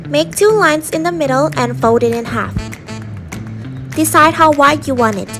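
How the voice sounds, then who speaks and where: High-voiced young woman presenting. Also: animated, moderate pace, over music. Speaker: student presenter of a craft tutorial. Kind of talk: scripted how-to narration.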